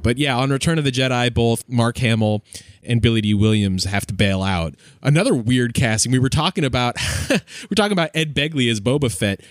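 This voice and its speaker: A man's voice: mid-range voice, fairly quick, no echo. A man on a podcast panel chatting casually, with a wordy, analytical way of talking. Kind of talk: casual discussion.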